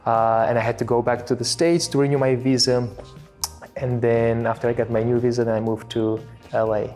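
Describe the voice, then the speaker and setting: Bulgarian-accented man, halting and chatty. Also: medium pitch, level tone, dark tone, soft background music. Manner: halting, many fillers and restarts. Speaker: interview guest, filmmaker. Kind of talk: casual interview talk.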